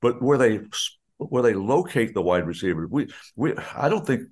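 A man's voice: mid-range voice, very animated, normal speed, darkish tone, husky. An older man, a veteran radio announcer, chatting in a folksy, enthusiastic way and often restarting his sentences. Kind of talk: casual chat on a radio show.

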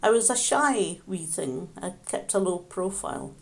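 An older woman, gently reminiscing in conversation and often restarting her sentences. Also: medium pitch, lively intonation, measured pace, vocal fry. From Scotland. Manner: gentle, reflective, with frequent restarts. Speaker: interviewee, mother.

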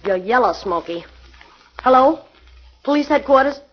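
An actress playing a tough, bitter gangster's moll in a 1940s radio drama, in an old lo-fi recording. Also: female voice, high voice, expressive, moderate pace. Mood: defiant. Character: defiant young female gangster's moll.

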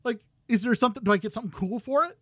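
A man in his thirties hosting a gaming podcast, ranting loudly with exasperation while losing his voice. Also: extremely high pitch, racing, dry recording, studio quality. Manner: loud exasperated ranting, swings to quiet muttering. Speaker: podcast host.

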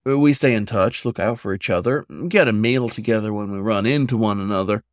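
A man's voice: lowish voice, a little fast, dry recording, lightly acted. A man performs an older werewolf trucker from an audio story. He is frank and reflective, talking in rustic, folksy turns of phrase. The sound is close and dry. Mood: easygoing, frank. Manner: rustic folksy drawl wording.

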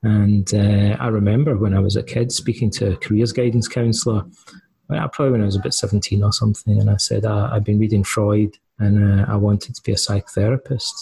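Low, gravelly Scottish man, deep voice. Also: fairly even intonation, brisk, dark tone, rough recording. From Scotland. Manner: thoughtful, hesitant with fillers and restarts. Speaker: guest. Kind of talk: conversational interview answers.

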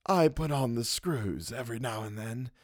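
A man's voice: medium pitch, normal speed, a little mellow, no room sound, clean studio sound, lightly acted. A man voicing a sinister, crippled old blackmailer in a thin, raised, cold voice. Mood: sinister calm.

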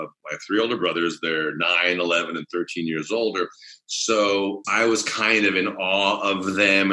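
American male voice coach, lowish pitch, bright forceful tone, conversational. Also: poor audio. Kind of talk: conversational interview.

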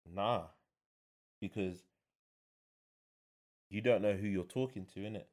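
A young man speaks in a very low, bassy voice. He talks things over slowly and thoughtfully, pausing on fillers as he chats as a guest. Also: darkish tone. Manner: reflective, with many fillers. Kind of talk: casual chat.

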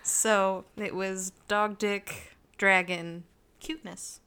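A woman co-hosting a casual podcast chat, telling animated stories, acting out what she says and laughing a lot. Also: mid-range voice, slow pace, clear, resonant tone. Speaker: podcast co-host.

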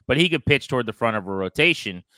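Bright, crisp American male sports host. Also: medium pitch, lively intonation, fast, no echo. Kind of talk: conversational sports talk.

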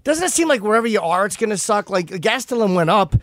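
A man's voice: sits high, big pitch swings, fast, a bit bright, no room sound, studio quality. A man hosting a casual interview, asking long rambling questions with self-deprecating asides. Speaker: podcast host.

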